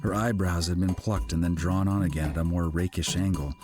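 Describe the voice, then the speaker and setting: A man's voice: low-pitched, steady tone, a little fast. A man reading literary fiction aloud as an audiobook narrator, in a scripted storytelling style. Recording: music behind the voice. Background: background music.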